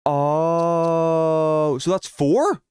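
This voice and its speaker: A man's voice: a little high, fairly even intonation, very slow, darkish tone, smooth, no room sound. A man live streaming, chatting casually and thinking aloud as he plays a video game. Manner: informal, thinking aloud while working out puzzles. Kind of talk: casual chat while playing a video game.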